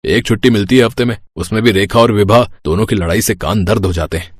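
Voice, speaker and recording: Man playing an easygoing, low-voiced family son. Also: fast, breathy edge, no echo. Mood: wry, casual. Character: easygoing adult son joking about household quarrels.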